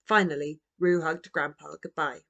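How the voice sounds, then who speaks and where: Gentle, expressive woman reading a storybook. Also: low voice, moderate pace, gravelly, dull audio. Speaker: author reading own book. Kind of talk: children's storybook narration.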